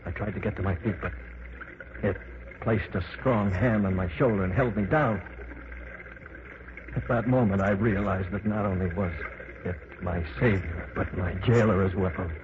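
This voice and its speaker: A man's voice: low-pitched, fairly even intonation, moderate pace, pauses often, natural voice. An actor playing a frightened man held captive underground, telling his tale in tense, dramatic old-time radio narration. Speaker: first-person narrator of a radio play. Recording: scratchy, tinny old radio broadcast.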